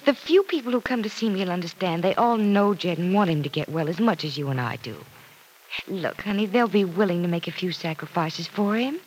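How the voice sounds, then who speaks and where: A woman's voice: on the low side, animated, a little fast, natural voice. An actress playing a cheerful, reassuring young working woman in an old-time radio soap opera. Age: young adult. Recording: noisy old radio recording.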